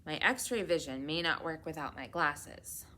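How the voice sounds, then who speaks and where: A woman's voice: deep voice, animated, unhurried, bright, forceful tone, full character voice. A woman voicing a confident, friendly young superhero boy in a children's storybook, earnest and encouraging. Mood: reassuring.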